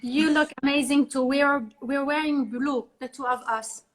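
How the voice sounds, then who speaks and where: A woman with a Lebanese accent talks casually as a guest coach. Her voice is on the high side. She speaks earnestly and haltingly, often repeating a word before going on. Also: steady tone. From born in Liberia, French Lebanese. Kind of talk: casual chat.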